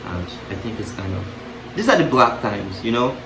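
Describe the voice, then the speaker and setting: Young male vlogger, lower voice, loose, musing delivery with joking asides; distant mic, room echo, audible noise. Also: sing-song, normal speed. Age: young adult. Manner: musing, self-interrupting, playful asides with laughs. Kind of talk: casual reflective monologue to camera. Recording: roomy, distant mic, clear background noise.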